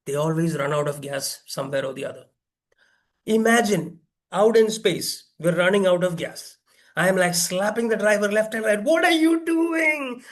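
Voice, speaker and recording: High-voiced man telling a tall tale. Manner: theatrical, acts out dialogue, asks listeners rhetorical questions. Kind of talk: humorous exaggerated storytelling speech.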